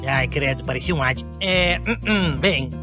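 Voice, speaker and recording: A man's voice: on the high side, a little slow, music in the background, natural voice. A man voicing an awkward, defensive young cartoon animal with a Brazilian accent, hesitant, rambling and flippant. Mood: flippant, pitching. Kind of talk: animated cartoon dialogue dub.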